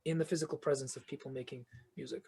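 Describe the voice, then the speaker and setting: A man's voice: medium pitch, fairly even intonation, racing, dark tone, husky. A man being interviewed as a guest, answering in long, earnest explanations that build with restarts. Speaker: guest, fantasy author and choir conductor. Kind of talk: reflective podcast interview answers.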